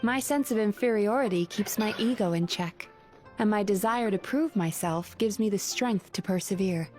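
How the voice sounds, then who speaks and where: A woman playing a composed, gentle, dry-witted young businesswoman in an anime video game, speaking candidly. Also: mid-range voice, moderate pace, soft background music, lightly acted. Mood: calm, candid. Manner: calm, candid, dryly teasing.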